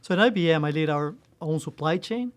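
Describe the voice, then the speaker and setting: Costa Rican man, earnest, pitched a bit high. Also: lively intonation, a little fast. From Costa Rica. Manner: earnest, loose with restarts and "right?". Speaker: supply chain executive. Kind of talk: interview answers.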